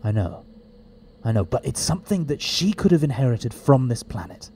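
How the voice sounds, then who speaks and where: A man playing a quick-witted, eccentric time traveller in an audio drama, wry and explanatory. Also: mid-range voice, very animated, a little mellow, slight background noise. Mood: determined.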